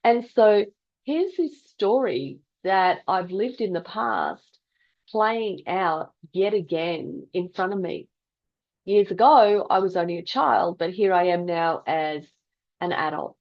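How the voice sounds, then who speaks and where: Slow-talking woman telling a story. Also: fairly low pitch, lively intonation, muffled recording. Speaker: health vlogger telling a personal story. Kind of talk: personal storytelling.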